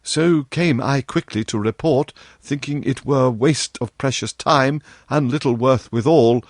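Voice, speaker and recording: Royal courtier voiced by a man, deferential and uneasy, careful formal phrasing at a very slow pace. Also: mid-range voice, dry recording, studio quality, voice pushed up, close to an everyday voice. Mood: troubled. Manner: formal, pleading archaic speech. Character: deferential nobleman courtier addressing his king, anxious and humble.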